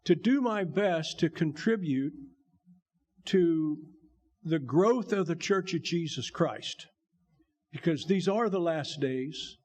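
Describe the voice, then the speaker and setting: An older man with a gravelly voice, a bishop giving a folksy, joking testimony to his church. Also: a little high, darkish tone. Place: church sanctuary.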